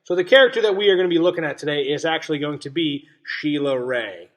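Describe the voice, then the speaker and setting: Higher-voiced man teaching through a read-aloud. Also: quick pace. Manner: explanatory, checks in with simple questions. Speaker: teacher reading a picture book aloud. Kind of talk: children's read-aloud lesson.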